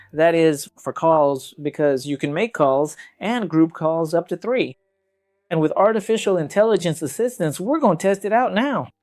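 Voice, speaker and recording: Man, slightly high voice, product reviewer, casual hands-on demo, conversational, speaks straight to viewers, music behind. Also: darkish tone. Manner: conversational, addresses viewers directly.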